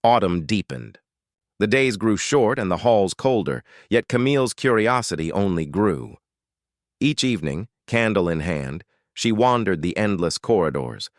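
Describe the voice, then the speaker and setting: British male bedtime narrator, lowish pitch, soothing and measured but richly inflected. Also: no room sound, studio quality. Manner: gentle, soothing, measured storytelling. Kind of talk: scripted fairytale narration for sleep.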